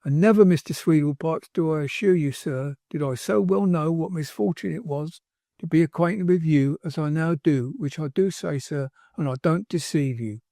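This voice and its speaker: A man's voice: a little high, normal speed, gravelly, mellow, no room sound, clean studio sound. A man voicing an indignant older woman nurse who rambles in ungrammatical speech.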